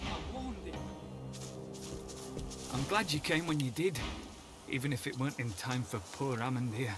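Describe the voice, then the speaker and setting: A man's voice: medium pitch, moderate pace, natural voice. An actor voicing a coarse, shaken male soldier. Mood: rattled. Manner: coarse, rattled. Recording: game audio under music.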